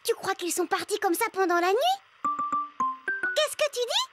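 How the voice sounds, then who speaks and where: A woman's voice: extremely high pitch, sing-song, moderate pace. A woman voicing a cheerful, confiding little girl who tells a story directly to young cartoon viewers. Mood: curious, playful. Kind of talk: children's cartoon narration. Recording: loud music behind the voice.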